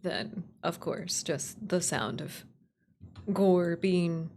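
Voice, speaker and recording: Slow, creaky-voiced woman muttering to herself. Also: lowish voice, darkish tone, a little hiss or hum. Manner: mutters to herself, trails off and repeats words while drafting. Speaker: streamer, writer and voice actor. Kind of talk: casual work-along stream, thinking aloud while writing. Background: computer keyboard typing and clicks.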